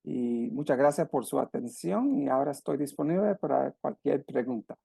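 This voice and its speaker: A man from Puerto Rico with a Puerto Rican Spanish accent, giving a medical lecture and answering questions, halting with frequent restarts and repeated words. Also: medium pitch, lively intonation, vocal fry, warm tone, slightly rough, no echo. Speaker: radiologist lecturer.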